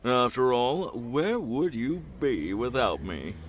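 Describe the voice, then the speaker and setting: A man's voice: medium pitch, sing-song, slow, a little hiss or hum. A man playing a vain, rich, pompous superhero in an audio comedy, speaking theatrically. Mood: condescending.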